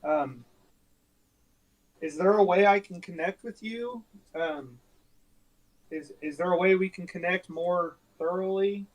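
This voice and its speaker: A man's voice: sits high, takes it slowly, long pauses, low-quality recording. A man playing a tabletop game, chatting casually and rephrasing his questions hesitantly as he goes.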